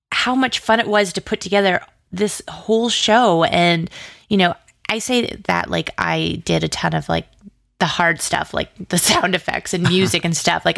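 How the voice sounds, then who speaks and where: A woman's voice: fairly low pitch, measured pace, gravelly, a bit bright, no echo, clean studio sound. A woman chatting casually as an interview guest, effusive and rambling, peppering her talk with "like" and "you know". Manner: effusive, enthusiastic. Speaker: interview guest, audio drama writer and producer.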